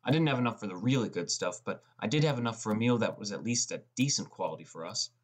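A man with a fairly low voice narrates a first-person story. It is scripted audiobook reading. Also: lively intonation, quick pace, husky.

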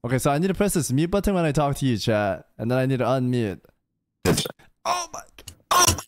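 A man's voice: medium pitch, fairly quick. Playful young man on a close mic. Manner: playful, teasing, jumpy and animated. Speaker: streamer leading a game group. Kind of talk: casual gaming voice chat. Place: indoor home streaming room. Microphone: studio mic on a boom arm, close.

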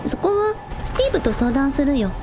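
Woman playing a high-voiced spirited idol girl. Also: sing-song, fairly quick, over music. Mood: confident. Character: spirited young village idol girl, protective and playful. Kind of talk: amateur radio drama acting.

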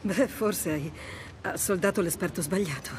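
A woman playing a wry, tough adventurer in dramatic video game dialogue, brisk and emotive. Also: lowish voice, darkish tone, slight background noise, lightly acted. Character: wry, tough adventurer woman in her thirties or forties, sarcastic.

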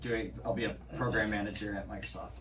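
A man's voice: lowish voice, steady tone, quick pace. A young man chatting in a friendly, casual way in a crowded pub. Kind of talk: casual chat. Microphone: phone camera from far off. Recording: distant phone recording in a noisy pub.

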